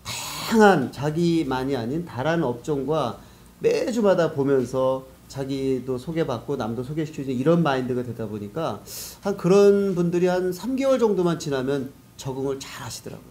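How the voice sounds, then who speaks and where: A male interview guest with a soft, mellow timbre explains things conversationally. His pitch sits in an ordinary middle range for a man. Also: lively intonation, moderate pace. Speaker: guest, business network organizer.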